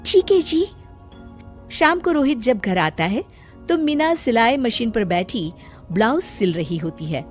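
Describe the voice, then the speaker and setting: A woman's voice: on the high side, lively intonation, a little slow, soft background music. A woman reading scripted storybook narration for a cartoon, as the storyteller.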